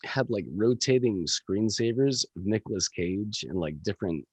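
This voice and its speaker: A man with a gravelly voice, a musician chatting casually as a podcast guest, rambling with lots of fillers and swearing. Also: lowish voice, lively intonation, normal speed, darkish tone, no echo.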